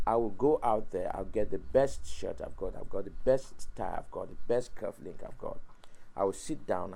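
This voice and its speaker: A man from Cameroon whose first language is French, speaking with a low, dark, gravelly voice. He talks in a preachy, exhortative way, stumbling into repeats and chuckling at his own lines. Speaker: interview guest, YouTuber and preacher. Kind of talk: motivational interview talk.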